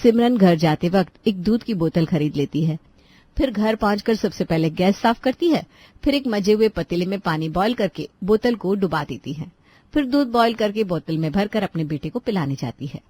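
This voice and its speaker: A woman narrates a children's moral story in a medium-pitched voice. The delivery is scripted storytelling, recorded very dry and close. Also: expressive, moderate pace, warm tone, no room sound.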